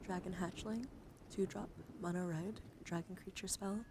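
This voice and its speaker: Soft-spoken woman doing ASMR over background noise. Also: on the low side, steady tone, moderate pace. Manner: frequently drops into whispers. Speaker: livestream host. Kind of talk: soft-spoken ASMR card reading and casual stream chat. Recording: clear background ambient noise.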